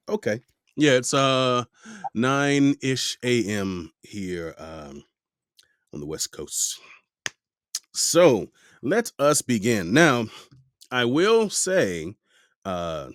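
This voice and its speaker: A man's voice: fairly low pitch, sing-song, slow, long pauses, dry recording, clean studio sound. A man hosting a podcast, chatting casually and playfully with a guest over a remote video call, full of joking asides. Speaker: podcast host. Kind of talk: casual interview chat.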